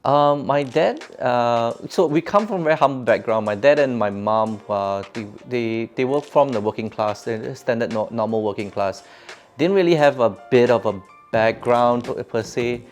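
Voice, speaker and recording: A middle-aged man with a Singaporean accent answering interview questions in a halting, rambling, conversational way. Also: mid-range voice. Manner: halting, rambling, repeats phrases as he goes. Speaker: interview guest, crypto educator and entrepreneur. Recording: background music under speech.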